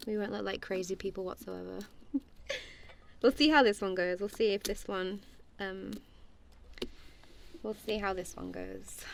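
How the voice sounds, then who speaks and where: A woman's voice: medium pitch, measured pace, creaky, pauses often, slight background noise. A woman playing a goofy, affectionate friend in an ASMR roleplay, chatting casually in a playful, giggly, rambling way. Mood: teasing, chatty.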